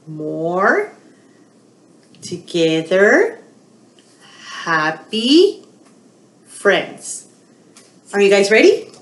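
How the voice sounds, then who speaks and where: A woman in her forties leads a storytime for small children. She is animated and often asks them questions, with very varied pitch and an unhurried pace. The recording has audible room echo. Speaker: children's library storytime presenter. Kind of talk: storytime narration for young children. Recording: roomy home recording.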